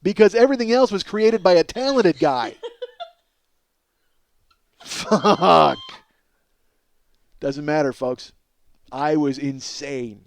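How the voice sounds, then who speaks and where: A man's voice: fairly high pitch, slow, pauses often, slight background noise. A middle-aged American man delivering a casual, profane comedic monologue as a podcast host, exasperated and self-mocking, addressing his listeners as folks.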